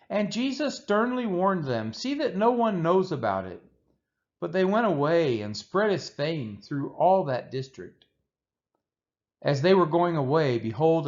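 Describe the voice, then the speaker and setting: A man reading scripture aloud as narration, with measured, deliberate phrasing. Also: on the high side, muffled recording. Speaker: Bible reader.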